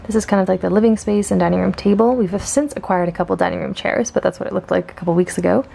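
A woman's voice: mid-range voice, steady tone, fast, vocal fry, dark tone. A young woman from Canada, a student, chatting casually with ums and small laughs while walking through her campus and dorm with a handheld camera. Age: young adult. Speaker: student vlogger. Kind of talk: casual walkthrough narration. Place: outdoors on campus, then indoors in a dorm room.

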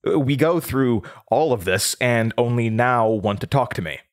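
Nervous, halting young man, played by an actor. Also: medium pitch, animated, lightly acted. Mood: uneasy, defensive. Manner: halting, stammering, hesitant. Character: nervous, confused young man under interrogation. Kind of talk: audio drama acting, naturalistic.

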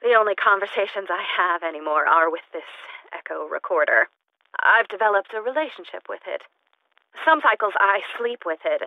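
A woman's voice: lowish voice, dry recording, narrow, tinny audio. An actress playing an eccentric, unstable woman scientist in a video game, recording lonely logs in formal, wordy, clinically detached speech through a recorder-like radio filter. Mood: clinical, unhinged. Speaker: video game character actor. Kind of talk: in-character audio log monologue. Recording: thin, band-limited recorder sound.

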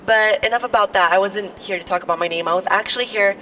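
A young woman with a low voice, a little sick, performing a stand-up comedy monologue with playful, teasing asides to the crowd. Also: fast, bright, forceful tone. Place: outdoors on building steps at a podium. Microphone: podium mic. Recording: distant outdoor mic, faint noise.

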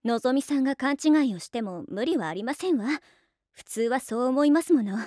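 A genteel, high-voiced anime young lady. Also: lively intonation, a little fast, mellow, no echo, clean studio sound. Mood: calm, gentle. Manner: polite, genteel, ladylike formal speech. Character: composed, refined rich-girl high schooler. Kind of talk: Japanese anime voice acting.

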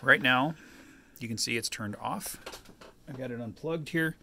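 A man's voice: medium pitch, unhurried, creaky, noisy background. A man giving a casual, unscripted hands-on DIY tutorial in an explanatory way, recorded indoors on a handheld camera. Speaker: DIY tinkerer presenting his own modification. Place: indoors at a wooden table.

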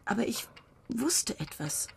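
An actress in a radio play voicing a dreamy woman who muses on time and angels, often dropping to a hushed whisper. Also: fairly high pitch, a little slow, creaky, slight background noise, full character voice. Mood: musing. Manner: whispery, musing, shifts between hushed and excited.

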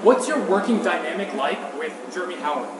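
A man reading out short quick-fire questions as an interviewer. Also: a little high, lively intonation. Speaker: interviewer, host. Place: outdoor city walkway. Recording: echoey, noisy outdoor ambience.

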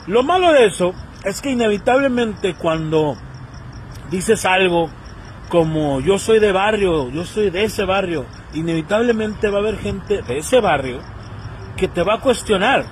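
A Mexican man in his thirties rants casually into his phone camera outside, his voice pitched fairly high. He talks in slang and acts out the people he quotes. Faint traffic hum sits behind him. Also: expressive, unhurried, rough recording. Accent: Mexican Spanish. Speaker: vlogger. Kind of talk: casual commentary rant. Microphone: phone selfie camera.

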